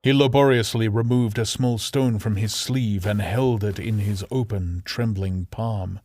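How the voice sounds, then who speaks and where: A man's voice: lowish voice, fairly even intonation, measured pace, a little mellow. A man reading scripted fantasy narration as an audiobook narrator, grave and theatrical.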